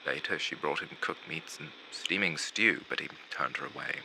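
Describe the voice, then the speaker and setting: A man playing a vain, gloomy undead showman who narrates an animated film in a pompous, drawn-out theatrical manner. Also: very deep voice, gravelly, noisy background. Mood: hushed, grave. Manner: dramatic, pompous, drawn-out delivery with hushed asides.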